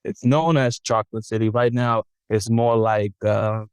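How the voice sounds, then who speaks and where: A man from the Dominican Republic, a podcast guest, talking in a relaxed, thoughtful way with frequent ums and restarts, mixing English and Spanish. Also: fairly low pitch, unhurried, smooth, no echo, clean studio sound. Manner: thoughtful, many ums and self-corrections. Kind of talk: casual interview, switching between English and Spanish.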